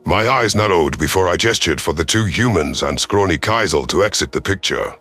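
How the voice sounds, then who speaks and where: Low-voiced man narrating dramatic science fiction over music. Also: lively intonation, moderate pace, fairly bright tone. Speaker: narrator of a sci-fi audio story. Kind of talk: first-person dramatic scripted narration.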